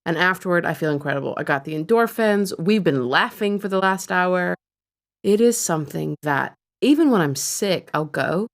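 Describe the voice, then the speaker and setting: A woman's voice: fairly low pitch, lively intonation, moderate pace. A woman co-hosting a podcast, chatting candidly and with a self-deprecating edge. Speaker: podcast co-host. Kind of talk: casual chat.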